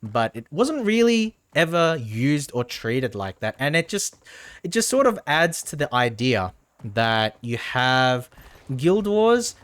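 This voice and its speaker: A man's voice: fairly high pitch, a bit bright, no echo. A man casually commentating on a game as he livestreams, rambling and often repeating his phrases. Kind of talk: casual gameplay commentary and chat.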